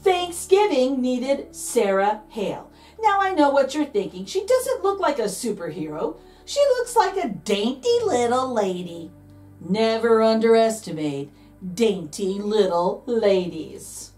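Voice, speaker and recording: A woman's voice: fairly high pitch, very animated, unhurried, darkish tone, distant mic, soft background music. A woman reading a picture book aloud to children, dramatic and playful, drawing out key words for emphasis. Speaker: read-aloud host, storyteller.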